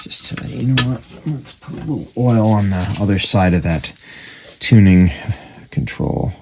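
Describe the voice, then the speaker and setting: A man's voice: fairly low pitch, unhurried, darkish tone. A man casually narrating hands-on repair work and thinking aloud in an offhand, matter-of-fact way. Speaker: radio repair hobbyist and video host. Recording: clearly noisy, with clicks.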